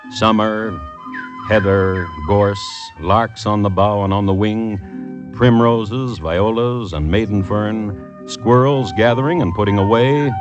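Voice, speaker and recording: An actor playing a dry, wry connoisseur of crime, narrating deliberately as host of an old-time radio show. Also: male voice, lowish voice. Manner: droll, deadpan, deliberate storytelling. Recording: muffled vintage radio broadcast with music.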